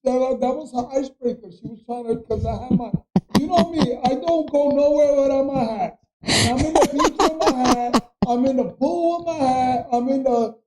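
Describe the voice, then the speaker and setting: A hoarse man with a New York accent, co-hosting a casual chat show and playfully mixing Spanish into his English. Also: very high voice, normal speed, a little mellow, poor audio. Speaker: co-host.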